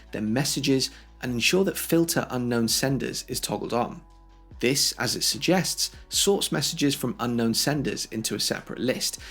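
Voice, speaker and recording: Man, husky-edged tutorial voice. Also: medium pitch, a bit bright. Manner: clear step-by-step explaining, conversational. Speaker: tech tutorial host. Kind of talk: instructional how-to narration for video.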